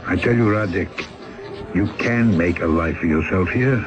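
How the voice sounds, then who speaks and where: A man's voice: low voice, moderate pace, highs cut off, over music, natural voice. A middle-aged actor playing a worldly, fatherly male exile in a 1970s radio drama. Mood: coaxing. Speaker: older convict and storyteller.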